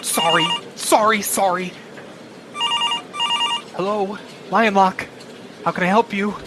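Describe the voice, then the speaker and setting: A man's voice: high-pitched, steady tone, takes it slowly. A man playing a nervous young temp worker who stammers on the phone. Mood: flustered. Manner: flustered, stammering. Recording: loud background noise.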